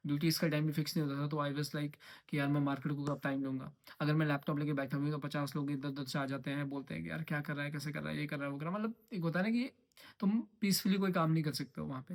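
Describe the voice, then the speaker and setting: A young man from the Himalayan hills of Uttarakhand speaks, pitched a little above the usual for a man. His delivery is rapid and rather flat, rambling and repeating himself while slipping English phrases into casual vlog chat. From Uttarakhand, India. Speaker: trading vlogger.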